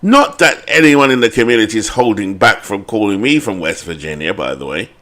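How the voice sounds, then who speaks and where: A man's voice: lowish voice, expressive, fairly quick, bright, forceful tone. A British man hosting a baseball sim game channel, chatting casually and rambling with fillers and self-corrections.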